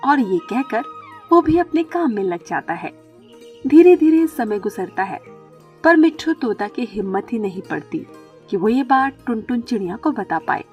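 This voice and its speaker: High-pitched woman storyteller. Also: big pitch swings, normal speed, darkish tone, faint music behind. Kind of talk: scripted children's story narration.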